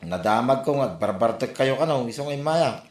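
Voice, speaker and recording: Exasperated grown son for Ilocano comedy drama, medium-pitched, reproachful, Ilocano accent, roomy sound male actor. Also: animated, moderate pace, natural voice. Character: exasperated grown son scolding his drinking father. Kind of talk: Ilocano comedy radio-style drama acting. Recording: roomy echo.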